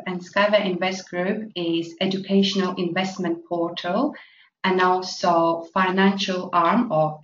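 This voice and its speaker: A woman from Latvia presenting an investment opportunity, speaking halting full of fillers. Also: fairly low pitch, a bit bright. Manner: halting, many fillers, non-native grammar. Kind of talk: promotional presentation. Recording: echoey, distant, dull lo-fi with faint noise.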